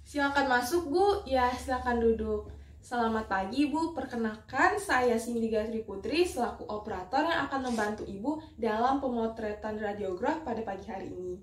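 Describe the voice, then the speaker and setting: Young woman, kinda high voice, doing a polite step by step demo, really echoey room, mic a bit far off. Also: normal speed. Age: young adult. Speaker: dental student operator giving a skills demonstration. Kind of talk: rehearsed instructional demonstration with patient role-play. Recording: echoey room, distant mic, faint noise.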